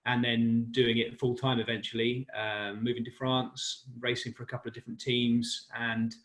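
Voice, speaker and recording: A man's voice: medium pitch, flat delivery, moderate pace, a bit bright, low-quality recording. A man in his forties from West London, talking casually and laughing easily as a guest in an interview about his life in sport. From West London, England. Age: 40s. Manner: chatty and self-deprecating, laughs easily. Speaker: guest, cycling commentator.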